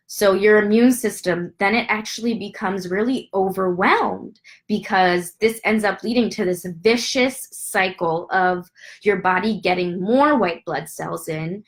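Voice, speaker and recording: Congested woman doctor, chatty health explainer, talks straight to viewers. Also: fairly low pitch. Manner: chatty, addresses viewers directly with fillers. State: congested, stuffy nose. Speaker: doctor giving a health talk. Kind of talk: casual educational talk to viewers.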